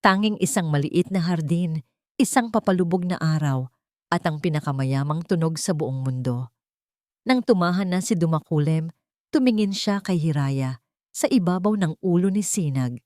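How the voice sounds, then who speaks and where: A woman storyteller narrating a scripted dramatic audio story in deliberate, sentimental short phrases. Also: deep voice, a little mellow, no room sound, clean studio sound. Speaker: storyteller and channel host.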